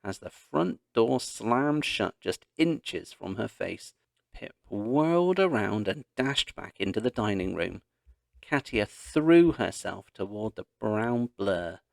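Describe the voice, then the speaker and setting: A man narrating a children's story aloud in an expressive, dramatic storytelling manner. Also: lowish voice, slow, creaky, no room sound, clean studio sound. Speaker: audiobook narrator.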